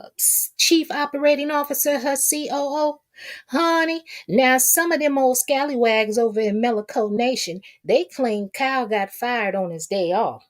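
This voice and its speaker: Sassy, high-voiced woman. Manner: sassy, folksy, repeats phrases for emphasis. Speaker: YouTube commentator and channel host. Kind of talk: casual gossip commentary to viewers.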